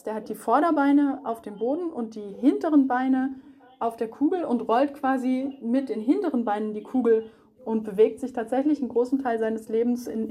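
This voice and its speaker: A woman's voice: fairly high pitch, mellow. A woman speaking as an animal expert, explaining things spontaneously and with emphasis for a young audience. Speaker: animal expert being interviewed.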